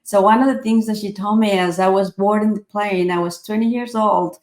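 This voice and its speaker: A woman in her forties from Ecuador speaks at a middle pitch, earnest and reflective. She pauses on fillers and restarts her sentences. Her voice bounces around a roomy, echoey space. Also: fairly even intonation, a little mellow. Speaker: author and tech speaker. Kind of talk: interview answers.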